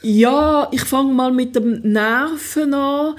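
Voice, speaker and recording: A woman from Switzerland with a Swiss German accent, a city council member answering interview questions in a relaxed, hesitant way with many fillers. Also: high voice, slow pace. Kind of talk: casual interview.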